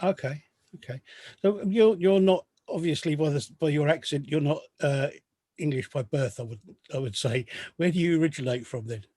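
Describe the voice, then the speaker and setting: A man's voice: a little high, moderate pace, warm tone, dry recording. Man hosting a podcast in a dry, close sound. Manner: hesitant, many ums and restarts. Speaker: podcast host. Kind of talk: casual interview.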